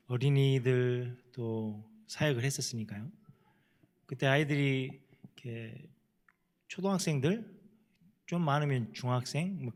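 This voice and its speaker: A middle-aged Korean missionary with a Jeolla dialect, telling stories plainly in a church panel talk with self-deprecating humor. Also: male voice, medium pitch. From South Korea. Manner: plain-spoken, self-deprecating, chuckles often. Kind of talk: panel talk, casual storytelling.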